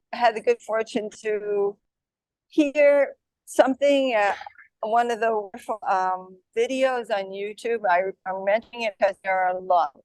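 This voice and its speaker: A soft-spoken woman giving a devotional talk in a friendly, informal way. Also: fairly high pitch, no room sound, poor audio. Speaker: speaker at devotional gathering.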